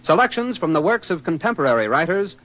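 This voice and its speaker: A man's voice: on the high side, very animated, fast. An American man announcing formally from a script in 1940s radio style, carefully enunciated. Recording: dull 1940s radio recording.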